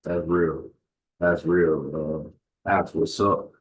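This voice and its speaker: A man's voice: rumbling low, little pitch movement, slow pace, rough recording. A man hosting a podcast interview over a video call. He affirms the guest often with short "yes, sir" replies. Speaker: podcast host. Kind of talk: interview chat.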